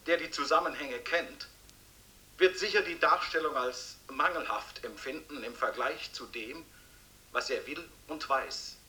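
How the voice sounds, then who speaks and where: An actor playing a solemn, formal orator declaiming a classical speech in a radio play. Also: male voice, on the high side, sharp tone, poor audio.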